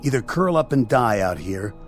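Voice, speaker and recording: A man's voice: mid-range voice, big pitch swings, moderate pace, faint music behind, close to an everyday voice. Actor as tough, distrustful military spaceman. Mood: urgent, commanding. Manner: commanding, confrontational, clipped. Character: tough, suspicious, hard-driving military astronaut, distrustful and determined. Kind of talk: radio drama acting.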